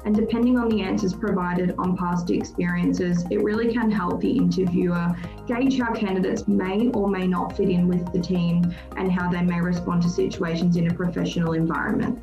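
A woman's voice: lowish voice, fairly even intonation, normal speed. A woman recruiter giving a prepared explanatory talk on a podcast. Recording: roomy, dull lo-fi sound. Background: music.

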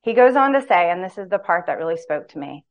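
A woman with a lowish voice leads a Bible study in a church hall. She is earnest and candid. The recording sounds dull and lo-fi. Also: expressive, fast talker. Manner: earnest, candid, reads long quotes aloud. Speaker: women's Bible study speaker.

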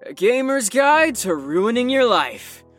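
A man's voice: extremely high pitch, big pitch swings, takes it slowly, fairly bright tone, faint music behind, heavily acted. A man playing a flippant young patient who reads out a mock game guide in a casual, sarcastic, theatrical way. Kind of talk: mock video game guide in audio drama.

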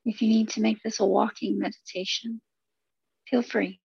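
A woman guides a relaxation session in a husky voice thick with vocal fry. She speaks soothingly in short, unhurried phrases and leaves long pauses between them. The recording is dull and very dry. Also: a little high, level tone, a little mellow, no room sound. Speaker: meditation guide.

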